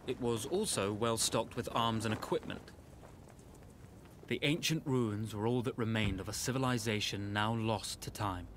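An actor voicing a young British officer who narrates and plans in a measured, dry way. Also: male voice, mid-range voice, background noise, lightly acted. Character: calm, wry British officer, male, young, leading rebels. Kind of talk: scripted game narration and dialogue. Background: game score music.

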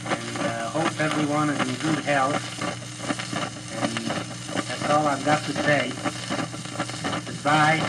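A man giving a plain-spoken, slightly halting greeting on a scratchy 1940s home record. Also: a little high, fairly even intonation.

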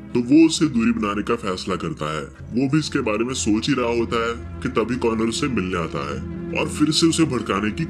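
A man with a fairly low voice tells a film's story as a scripted recap. His delivery is steady and continuous, in the manner of a storyteller. Music plays clearly behind his voice. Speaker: film recap narrator. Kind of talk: scripted plot narration, storytelling.

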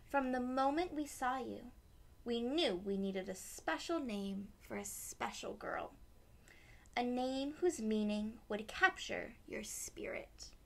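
A woman voicing a gentle, loving mother in a storybook, speaking softly and earnestly to reassure her daughter. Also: a little high, expressive, takes it slowly, creaky, full character voice. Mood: tender, reassuring. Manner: soft, tender, earnest.

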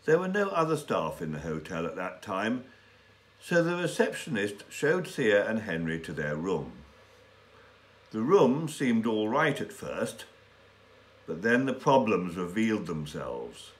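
Male storyteller, sixties. Slightly low voice, unhurried, careful diction for language learners. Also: very animated. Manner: careful, deliberate enunciation. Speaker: story reader. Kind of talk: scripted story narration for English learners. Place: small indoor room with bookshelves and window.

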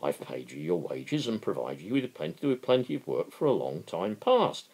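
A man's voice: fairly low pitch, sing-song, normal speed, gravelly, darkish tone, breathy edge, heavily acted. A man voicing a smug, falsely kind capitalist boss in a dramatic book reading, affable, patronising and dismissive.

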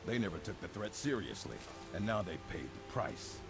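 An actor playing a gruff, stern vampire hunter recruiter in a video game, speaking in grim, scornful declarations. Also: male voice, sits low, very animated, moderate pace, over loud music, exaggerated voice. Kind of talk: video game character dialogue.

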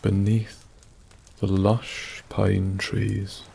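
A Scottish man narrating a bedtime story in a soft-spoken, soothing ASMR style, with long pauses. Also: fairly low pitch, monotone, creaky, husky. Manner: slow, soothing, hushed delivery with long pauses. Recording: faint background noise. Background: soft nature ambience.

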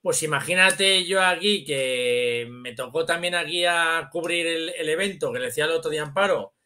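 Man, middle-aged, Spain accent, higher-pitched, bright forceful voice, casual anecdotes, video call. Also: normal speed. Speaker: guest. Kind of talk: casual chat, anecdote telling. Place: indoor room, on a video call.

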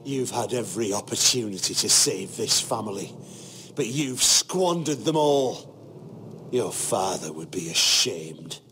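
An actor playing a bitter, defiant castle knight in a fantasy video game, scornful and forceful. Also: male voice, on the low side, lively intonation, measured pace. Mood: bitter anger. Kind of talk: dramatic video game acting.

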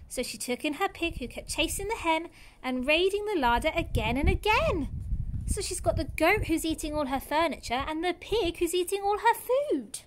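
Young woman, nursery storyteller, high-pitched, very expressive intonation, read-aloud style. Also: normal speed, slight background noise, rough recording. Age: young adult. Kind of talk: animated children's read-aloud. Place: outdoors in a garden.

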